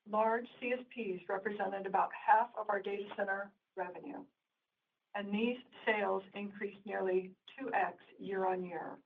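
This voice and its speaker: A distant, thin phone-line sound carries a woman executive's mid-pitched voice. She reads prepared financial remarks in a formal, scripted way, as on a corporate earnings conference call. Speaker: company executive presenting results.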